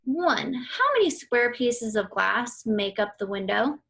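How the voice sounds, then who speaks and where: A woman reading aloud an educational book for children in a deliberate, instructional way. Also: a little high, very animated, creaky, fairly bright tone, slightly rough. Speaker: read-aloud reader for children.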